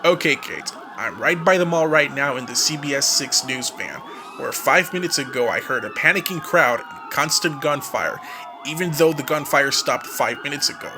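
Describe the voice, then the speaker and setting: A man's voice: on the high side, moderate pace, background noise, natural voice. A man playing a field news reporter, reporting hurriedly from the scene. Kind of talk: staged phone-in news report. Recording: sirens blaring behind. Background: police sirens.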